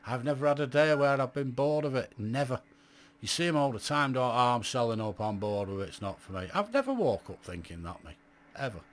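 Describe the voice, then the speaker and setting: A man's voice: mid-range voice, expressive, a little fast. A man in his late fifties, a radio amateur looking back on his hobby in a blunt, chatty monologue full of "you know" asides. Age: 50s. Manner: blunt, chatty, self-described grumpy, lots of "you know". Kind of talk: reflective casual monologue to camera.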